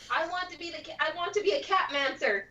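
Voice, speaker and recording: A woman's voice: very high-pitched, fast talker, pushed and bright. A woman chiming in casually during a chat. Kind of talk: casual interjections. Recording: noisy, dull lo-fi stream audio.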